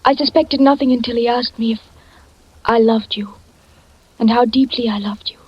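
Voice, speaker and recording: An actress playing a frightened, gentle young wife who speaks in a hushed, breathy near-whisper, in 1940s radio drama style. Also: female voice, on the high side, fairly even intonation, moderate pace. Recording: lo-fi old radio recording, faint music.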